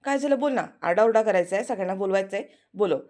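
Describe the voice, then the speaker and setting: A woman's voice: medium pitch, lively intonation, racing, darkish tone, natural voice. A woman voicing a brash, hot-tempered young man who quarrels defiantly. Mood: angry.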